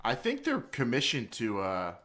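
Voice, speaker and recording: A man's voice: mid-range voice, huge pitch swings, normal speed, a bit bright. A man hosting a casual wrestling talk podcast, joking and rambling with frequent restarts. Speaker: podcast host.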